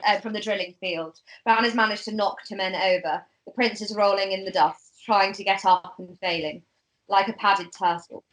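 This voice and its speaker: A woman's voice: medium pitch, fairly bright tone, poor audio. A woman reading stage directions as narrator in an amateur script table read.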